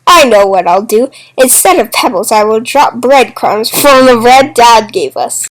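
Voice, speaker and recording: A woman voicing a calm, clever young boy who reassures his sister. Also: a little high, measured pace, poor audio. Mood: reassuring. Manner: reassuring, steady.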